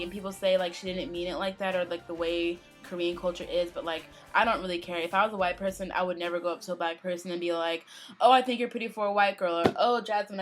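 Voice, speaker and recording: A young American woman co-hosting a casual fan-channel question-and-answer video, talking in a chatty, animated way. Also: lowish voice. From United States. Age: young adult. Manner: chatty, animated, quick when opinionated. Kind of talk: casual Q&A chat.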